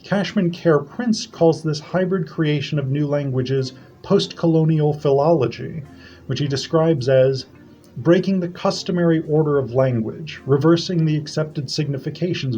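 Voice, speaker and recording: A man presenting an academic conference paper, reading it in a measured and formal way. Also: a little high, dark tone. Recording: dull lo-fi audio.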